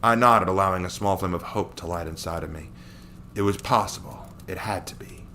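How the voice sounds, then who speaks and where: A man with a deep voice reads a dramatic story aloud. He works as the narrator of a scripted action tale. There is a faint noise in the background. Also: fairly quick, pushed and bright.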